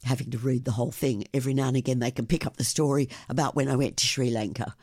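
An older woman, a guest on a radio interview, telling stories about her travels and her blog with warm enthusiasm. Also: a bass voice, fast talker, dry recording, studio quality. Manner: enthusiastic, animated storyteller. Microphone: studio microphone.

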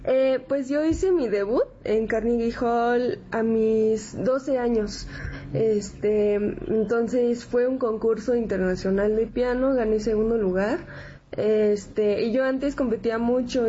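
A woman's voice: on the high side, takes it slowly, warm tone. A woman in her twenties with a Mexican accent, explaining herself conversationally as an interview guest. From San Antonio, Texas, United States. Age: 20s. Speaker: interview guest, accordion musician. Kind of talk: casual interview chat. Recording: dull audio with background ambient noise.

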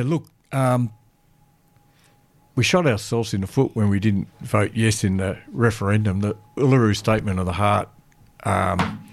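A man who is a guest land carer, speaking earnestly in a radio studio, halting and restarting his sentences. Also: fairly low pitch. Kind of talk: radio interview talk.

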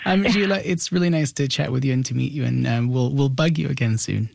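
A man's voice: medium pitch, fairly quick, creaky, darkish tone, a little hiss or hum. A man hosting a radio show, asking curious questions with enthusiasm.

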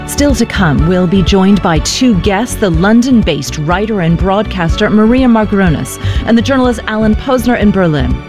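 A woman's voice: medium pitch, animated, normal speed, hardly any pauses, over music. A woman hosting a radio programme from a London studio, presenting and encouraging her guests. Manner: encouraging, attentive interviewer. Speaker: radio host.

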